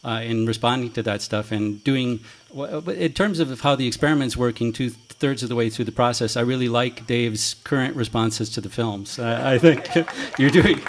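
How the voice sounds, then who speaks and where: A man hosting an outdoor festival screening, talking in a loud, upbeat way and laughing as he thanks the performers. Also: medium pitch, normal speed. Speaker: festival host. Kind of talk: live stage introduction.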